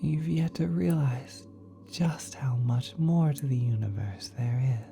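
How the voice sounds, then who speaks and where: A man voicing a kind, doting celestial being in a soft, breathy, often whispered ASMR roleplay, tender and halting. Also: mid-range voice. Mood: patient, explaining. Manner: tender, halting, gently coddling. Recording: cosmic ambient sound effects behind.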